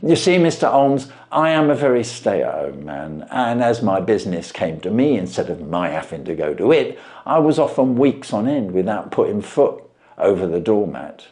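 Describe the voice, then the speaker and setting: A man's voice: fairly low pitch, big pitch swings, normal speed, a little mellow. A man voicing an earnest, long-winded, stay-at-home London widower who keeps a shop, telling his tale respectfully in a storybook reading. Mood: earnest, plaintive.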